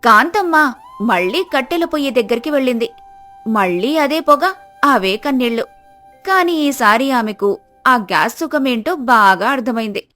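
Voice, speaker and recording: Female storybook narrator, lively and expressive, fairly high voice, light music underneath. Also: normal speed.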